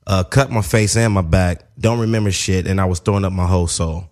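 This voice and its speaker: A man in his thirties co-hosting a casual studio chat, joking loudly and swearing freely. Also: deep voice, steady tone, a little fast. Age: 30s. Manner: loud, joking and profane. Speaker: co-host.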